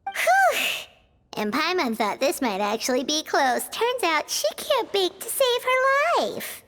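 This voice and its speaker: Squeaky, very high-pitched little fairy companion. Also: female voice, huge pitch swings, slow, fairly bright tone, a little hiss or hum, full character voice. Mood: surprised, relieved. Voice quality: squeaky, childlike. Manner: exclamatory, speaks in third person. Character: tiny childlike fairy companion, loyal, indignant, outspoken. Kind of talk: video game voice acting.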